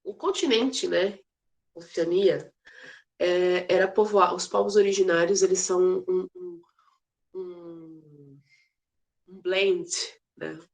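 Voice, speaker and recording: A woman's voice: lowish voice, steady tone, takes it slowly, creaky, long pauses, a little mellow. A woman teaching a casual geography class, chatty and playful, with many fillers and restarts. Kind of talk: casual online class lecture.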